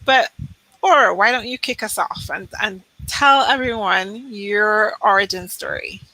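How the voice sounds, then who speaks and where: A woman host from Manchester talks in a friendly, earnest way. Her voice is bright and forward. Now and then she gets a little tongue-tied mid-sentence. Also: medium pitch, unhurried, low-quality recording. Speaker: co-host, HR consultant. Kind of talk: casual podcast chat.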